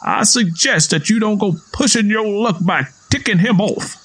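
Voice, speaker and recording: Man voicing a high-pitched, taunting Southern man. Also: normal speed, fairly bright tone, husky. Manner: folksy, taunting, argumentative.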